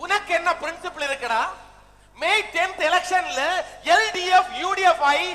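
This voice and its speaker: An actor playing the scolding ghost of a grand film-star leader in a stage comedy, theatrical and declamatory. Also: male voice, very high-pitched, animated, normal speed, sharp tone, heavily acted. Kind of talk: stage comedy skit acting. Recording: echoey stage hall with music.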